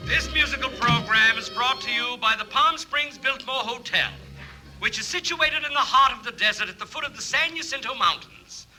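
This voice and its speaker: Formal radio announcer guy, really high voice, music behind him, old broadcast. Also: moderate pace, sharp tone, exaggerated voice, poor audio. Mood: formal, promotional. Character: formal male radio announcer reading hotel advertisement.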